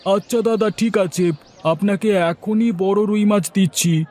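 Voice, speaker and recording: A man's voice: high voice, measured pace, darkish tone. A man playing a polite, obliging village fish seller in a comedy cartoon, deferential and eager to serve. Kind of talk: Bengali comedy cartoon dialogue.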